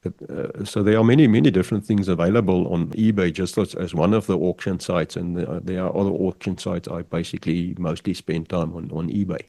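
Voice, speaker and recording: An older man whose first language is Afrikaans, speaking as a podcast guest in a measured, halting way with many restarts. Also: low voice, steady tone, creaky, dark tone, dry recording. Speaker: guest, pigeon figurine artist. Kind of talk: podcast interview over a call.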